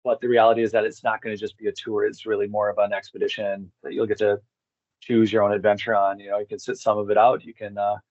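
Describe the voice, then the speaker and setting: A man's voice: lowish voice, little pitch movement, quick pace, a little mellow, no echo. A man speaking as a guest on a video call, explaining things in a casual, conversational way. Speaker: podcast guest, expedition organizer. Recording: slightly dull lo-fi call audio.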